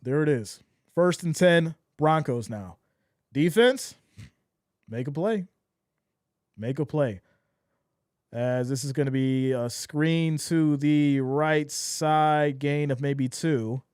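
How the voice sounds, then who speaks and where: Hoarse dude streaming play-by-play, kinda high voice, gets loud then deflated, dry close mic. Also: male voice, takes it slowly, pauses often, no echo. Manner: excitable, swings from shouting to deflated mutters. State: losing his voice. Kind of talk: live fan play-by-play commentary.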